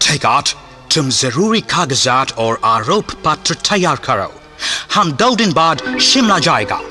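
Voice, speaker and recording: An actor playing a stern, pompous British colonial governor in a radio play, speaking deliberately in British-accented Hindi with English phrases. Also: male voice, fairly high pitch, very animated. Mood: commanding. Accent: British-style accented Hindi, put on. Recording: music behind the voice.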